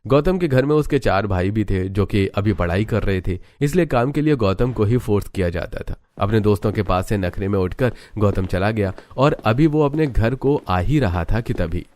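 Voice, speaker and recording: A man's voice: on the low side, lively intonation, normal speed, few pauses, darkish tone. A man giving scripted, dramatic narration for a cartoon story, as its narrator.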